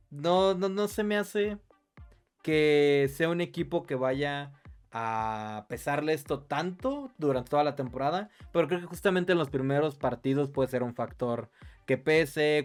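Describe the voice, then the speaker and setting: Mexican man giving casual football analysis. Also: mid-range voice. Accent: Mexican Spanish. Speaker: sports podcast co-host.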